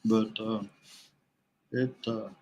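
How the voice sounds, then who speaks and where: A man chatting casually as a podcast guest, folksy and halting, often repeating his words. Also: lowish voice, level tone, a little mellow, highs cut off, slight background noise. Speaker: podcast guest, veteran kayak angler. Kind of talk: casual chat.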